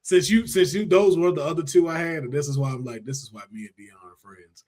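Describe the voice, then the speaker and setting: A man with a slightly high voice hosts a casual podcast chat. He talks in an animated, slangy way and swears freely. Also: a little fast. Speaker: podcast host.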